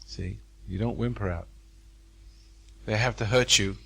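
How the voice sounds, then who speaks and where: A man's voice: lowish voice, fairly even intonation, unhurried, gravelly, a bit bright, breathy edge. Older man preaching to a seminar crowd. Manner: folksy, preacherly, repeats phrases for emphasis. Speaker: seminar speaker. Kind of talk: live seminar talk with audience. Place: hotel function room.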